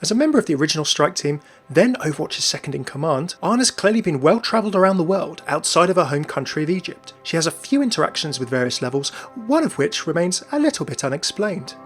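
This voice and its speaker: A man's voice: fairly high pitch, big pitch swings, fast, hardly any pauses. A man hosting and narrating a scripted game lore commentary. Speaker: video host and narrator. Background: music.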